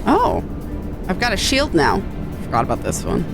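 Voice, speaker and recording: A woman's voice: lowish voice, wildly swooping pitch, moderate pace, vocal fry. A woman game streamer making casual, offhand chat as she plays. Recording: music behind the voice.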